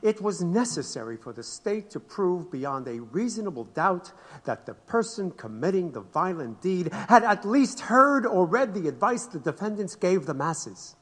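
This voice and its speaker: An actor playing a solemn defense lawyer in an audio drama, making an emphatic, deliberate courtroom speech. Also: male voice, high-pitched, very animated, a bit bright, heavily acted. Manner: deliberate, declamatory. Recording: long hall reverb.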